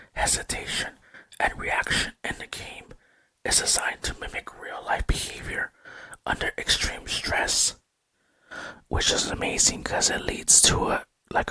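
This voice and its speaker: A man narrating a gaming video in a breathy ASMR whisper, speaking softly and in a measured way close to the microphone. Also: dry recording. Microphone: close microphone, dry sound.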